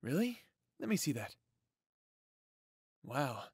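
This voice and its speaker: A man's voice: mid-range voice, sing-song, takes it slowly, slightly rough, no room sound, studio quality, exaggerated voice. A man playing an easygoing, friendly young werewolf in an audio drama, casual in manner. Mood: casual, surprised. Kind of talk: audio roleplay drama acting.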